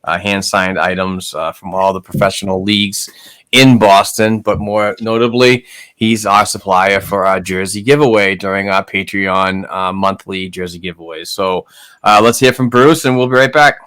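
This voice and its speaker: A man co-hosting a casual hockey podcast, halting and full of fillers. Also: low-pitched, steady tone, a bit bright. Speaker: podcast co-host. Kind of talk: casual chat.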